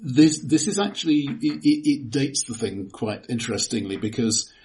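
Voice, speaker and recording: A man's voice: mid-range voice, lively intonation, normal speed, darkish tone, poor audio. A man co-hosting a conversational film discussion, explaining technical points analytically and often restarting his words. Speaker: podcast co-host.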